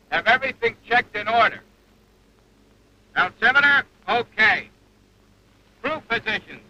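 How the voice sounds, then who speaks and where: Sharp, high-pitched 1940s flight instructor. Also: male voice, muffled recording. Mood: instructive. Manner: clipped, instructional. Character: seasoned, exacting male bomber flight instructor. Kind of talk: 1940s training film acting. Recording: old 1940s film soundtrack, engine noise.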